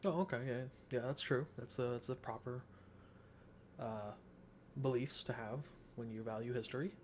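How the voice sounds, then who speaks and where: A man's voice: mid-range voice, moderate pace, a little hiss or hum. A man doing casual let's play commentary as a streamer, with wry, joking asides. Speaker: video game streamer.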